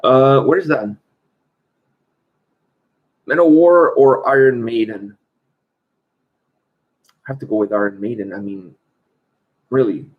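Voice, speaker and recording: A man's voice: medium pitch, takes it slowly, pauses often. Male host, Portuguese-born, casual and talkative, answers viewer questions, muffled stream audio. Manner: chatty, reads viewer questions aloud. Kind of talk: casual livestream chat.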